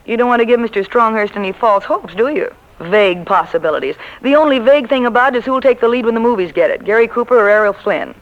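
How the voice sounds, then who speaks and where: An actress playing a wry, sharp-witted American woman at a publishing office in a 1940s radio comedy, dry and teasing. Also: fairly high pitch, lively intonation, quick pace, lightly acted. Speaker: radio drama actor. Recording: muffled 1940s radio recording.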